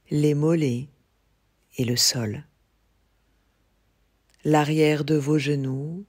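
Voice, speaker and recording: Slow, husky woman guiding meditation. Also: sits low. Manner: calm, measured, instructional with long pauses. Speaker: yoga teacher. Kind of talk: guided yoga nidra meditation.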